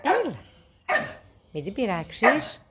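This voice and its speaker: A woman's voice: a little high, huge pitch swings, background noise. Woman cooing singsong baby talk to pets. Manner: repeats soft endearments in a singsong. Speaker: pet owner.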